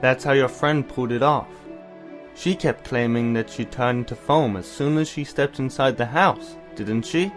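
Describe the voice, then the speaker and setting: A man playing a caring, bookish older brother in an audio drama, explaining things in a measured, slightly formal way. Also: mid-range voice, faint music behind, natural voice. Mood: knowing, patient. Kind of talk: anime-style audio drama acting.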